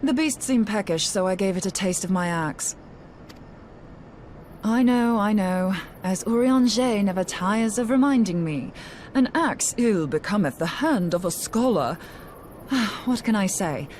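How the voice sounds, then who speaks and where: Young scholar heroine for a fantasy game, played by a woman: spirited, down-to-earth, wry, average pitch. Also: lively intonation, a little slow, a little mellow, lightly acted. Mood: wry, playful. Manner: informal, plain-spoken, wry. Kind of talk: video game voice acting. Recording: clear background noise.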